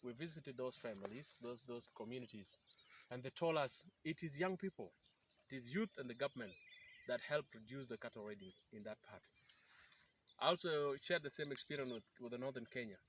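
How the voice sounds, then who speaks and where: Interviewgast, Mann in den Dreißigern aus dem Südsudan, erklärt eindringlich und setzt oft neu an; leise Insekten im Hintergrund. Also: male voice, mid-range voice, expressive, brisk, noisy background. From South Sudan. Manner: earnest, explanatory, with frequent restarts. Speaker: peace activist guest. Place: outdoors by a river in rural bushland.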